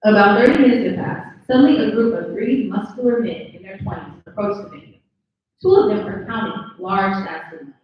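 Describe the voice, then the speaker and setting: A woman narrates screenplay stage directions at a live table read. Her mid-pitched voice comes from far off in a heavily echoing room, slightly dulled. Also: fairly quick. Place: live indoor table read at a mixer event. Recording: slightly dull, very echoey, distant pickup.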